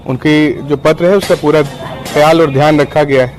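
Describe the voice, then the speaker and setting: A man with a slightly high voice answers as an interviewee on the news. He explains things in an informal, conciliatory manner, dropping in English words. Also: fairly even intonation, moderate pace.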